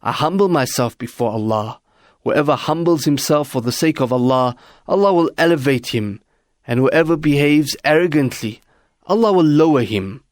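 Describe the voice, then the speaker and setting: A man's voice: on the high side, lively intonation, moderate pace, dry recording, clean studio sound. A man narrating scripted religious sayings, with music behind his voice. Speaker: narrator of hadith.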